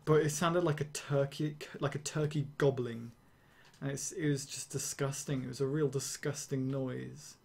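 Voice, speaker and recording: A young man streaming a game, rambling and ranting casually with swearing and quick laughs. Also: mid-range voice, steady tone, creaky, a little mellow, husky. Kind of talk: casual gameplay commentary.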